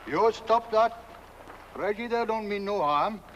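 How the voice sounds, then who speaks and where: High-voiced folksy gravedigger. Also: male voice, a little slow, a bit bright. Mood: scolding. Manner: folksy, rustic grammar. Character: plain-spoken rustic gravedigger, male, dryly matter-of-fact. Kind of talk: 1970s comic film acting. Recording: muffled old film soundtrack, faint noise.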